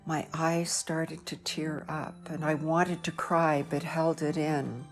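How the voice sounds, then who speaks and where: A woman reading a first-person audiobook in a measured, reflective way. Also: sits low, little pitch movement, soft background music. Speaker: audiobook narrator.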